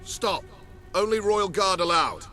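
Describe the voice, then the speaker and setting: A threatening royal guard in a fantasy game. The high male voice is hard and bright, clipped and formal, with faint music behind. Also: lively intonation, a little slow, full character voice. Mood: stern, threatening. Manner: curt, formal. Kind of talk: dramatic game dialogue.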